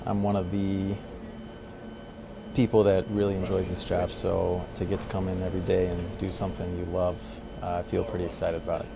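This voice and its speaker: A man's voice: sits low, completely flat delivery, brisk. A man from the United States working as an air traffic controller, giving casual, plain-spoken interview answers about his job. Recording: clear ambient background noise.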